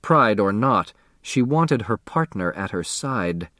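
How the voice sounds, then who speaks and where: A man reading a children's novel as an audiobook narrator, in an expressive scripted storytelling style. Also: lowish voice, takes it slowly, no echo, studio quality.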